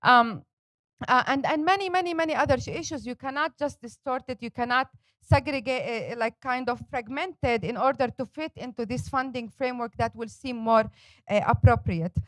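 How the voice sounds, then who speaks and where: A woman's voice: fairly high pitch, moderate pace, a bit bright, no echo. A woman, speaking passionately and emphatically as a panelist and organizer at a forum. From Palestine. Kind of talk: panel talk.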